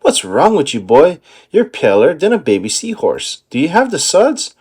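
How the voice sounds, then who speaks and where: Man doing a bossy, animated cartoon crab boss. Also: a little high, normal speed, slightly rough, heavily acted. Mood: stern.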